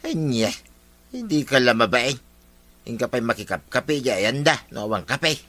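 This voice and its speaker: A man's voice: mid-range voice, sing-song, unhurried, a bit bright, dry recording. A man playing a nagging, reproachful village man in a radio drama.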